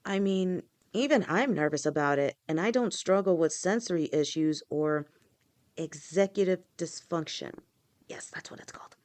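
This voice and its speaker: A woman voicing a gentle, protective snake-woman girlfriend who talks softly and reassuringly to her partner in an audio roleplay. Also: lowish voice, moderate pace, close to an everyday voice. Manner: soft, reassuring, speaks directly to a partner.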